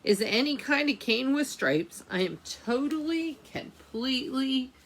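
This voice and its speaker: An emphatic female fairy shopkeeper from a storybook, as a woman reader plays her. She chats away in a highish, very animated voice and stretches her words for effect. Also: measured pace, exaggerated voice. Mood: emphatic, apologetic.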